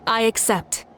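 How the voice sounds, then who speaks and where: A woman playing a confident, poised warrior leader in a video game, resolute and measured, relishing a worthy duel. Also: on the high side, sharp tone, a little hiss or hum, heavily acted. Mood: amused, accepting. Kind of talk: dramatic video game voice acting. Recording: music under the voice.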